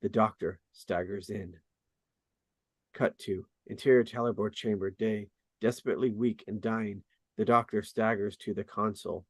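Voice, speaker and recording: A man reading screenplay stage directions as scripted narration, with deliberate dramatic emphasis. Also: on the low side, steady tone, breathy edge, no room sound. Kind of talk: scripted narration at a table read.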